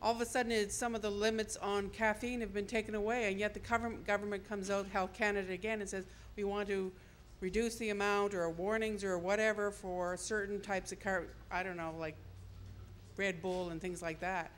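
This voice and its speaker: A woman's voice: mid-range voice, level tone, a little fast, slight background noise. A woman delegate asking a question from the floor at a convention, hesitant and rambling, her sentences trailing off. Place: convention hall.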